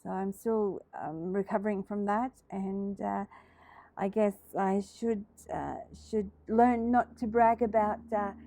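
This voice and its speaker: A woman's voice: mid-range voice, steady tone, slow, gravelly, mellow, no room sound. A middle-aged Australian woman hosting a casual crafting video, chatting hesitantly with lots of ums and sounding slightly throaty from a cold. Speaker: craft channel host. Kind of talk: casual crafting tutorial chat.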